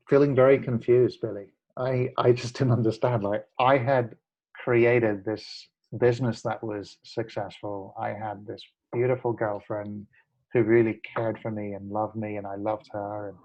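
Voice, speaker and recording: A man's voice: medium pitch, a little mellow, dull audio. A man in his forties, a guest telling his story earnestly and reflectively in a casual chat. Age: 40s. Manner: earnest, reflective, many fillers and restarts. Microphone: video call.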